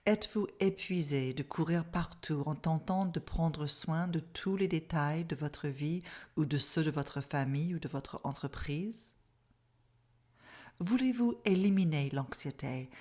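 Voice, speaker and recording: A middle-aged woman from Canada, a native English speaker with an accent, calmly teaching a mindfulness masterclass in a measured, soft-spoken way. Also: deep voice. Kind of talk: online masterclass presentation.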